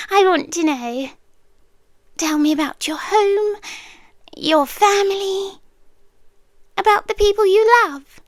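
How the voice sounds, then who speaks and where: A British woman voicing a possessive, doting monster girlfriend in an ASMR roleplay, soft and breathy, often slipping into intimate, coaxing whispers. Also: extremely high pitch, no echo, clean studio sound, exaggerated voice. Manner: slow, cooing, intimate, coaxing. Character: possessive, doting female monster yandere girlfriend hoarding treasures.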